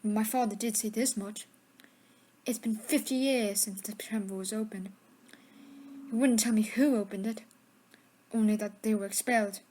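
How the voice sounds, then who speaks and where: A woman's voice: mid-range voice, fairly even intonation, normal speed, pauses often, warm tone, close to an everyday voice, rough recording. A woman playing a spiteful, arrogant schoolboy wizard bully with a sneer in a fan audio drama. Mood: smug, conspiratorial.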